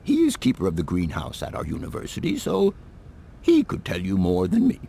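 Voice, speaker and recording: A kindly, doddery old professor for an adventure game. His creaky voice swoops extravagantly up and down as he muses and hesitates theatrically. Faint rumbling noise sits behind him. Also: huge pitch swings, fairly quick, dark tone, exaggerated voice. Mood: kindly, doubtful. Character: doddery, kindly older male university professor. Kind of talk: video game voice acting.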